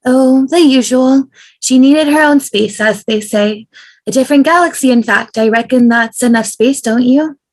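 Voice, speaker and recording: A woman's voice: high voice, moderate pace. A woman playing a wry, guarded traveller with a dry, understated manner in a sci-fi table read. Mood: wry, rueful.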